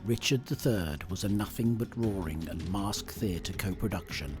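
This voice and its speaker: A man announcing in a scripted, measured credits-narration style. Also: sits low. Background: music.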